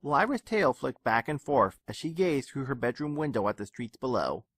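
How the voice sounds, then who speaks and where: A man reading a scripted fan fiction story aloud with expressive narration. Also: mid-range voice, normal speed, husky, no echo. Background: soft game music.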